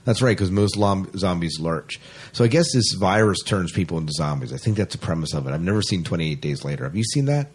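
Male podcast host, deep and slightly rough voice, chatty and keen, casual geek-show banter. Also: lively intonation, quick pace. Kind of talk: casual sci-fi podcast chat.

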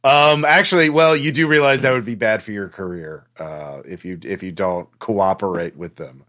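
A man acting as game master of a tabletop role-playing game, talking conversationally in a halting, self-correcting way. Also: on the low side, a bit bright.

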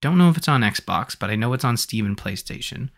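A man hosting a podcast in casual conversation, talking in an animated, rambling way as he thinks aloud. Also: mid-range voice, a little fast. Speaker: podcast host.

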